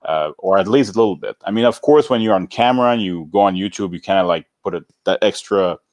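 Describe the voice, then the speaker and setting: Man from Canada, deep low voice, interview guest, informal, rambling, dry recording. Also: fast talker, darkish tone, no room sound. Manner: rambling, informal, filler-heavy with many "like"s. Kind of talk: casual chat, personal storytelling.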